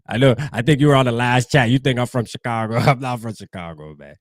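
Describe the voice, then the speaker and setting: Salty, chatty fan-show host. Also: male voice, mid-range voice, no echo, studio quality. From New Jersey. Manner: loose, profane, talks straight to chat. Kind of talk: casual livestream sports talk.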